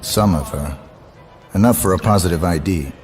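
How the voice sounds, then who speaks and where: Very deep-voiced cold male assassin acted out. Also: normal speed, soft background music, close to an everyday voice. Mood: cold, businesslike. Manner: terse, deadpan, businesslike. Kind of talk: video game voice acting.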